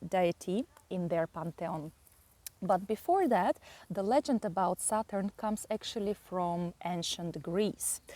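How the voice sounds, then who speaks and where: A woman with a Bulgarian accent, a native Bulgarian speaker, explaining astrology and mythology with many pauses and fillers. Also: on the low side, a little mellow. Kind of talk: slow explanatory astrology talk.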